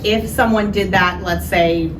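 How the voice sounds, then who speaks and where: A woman's voice: medium pitch, fairly even intonation. A woman serving as a county elections director, answering audience questions in an explanatory way and often checking in with "okay?". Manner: explanatory, quick, with frequent "so" and "okay?". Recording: distant roomy mic with noise.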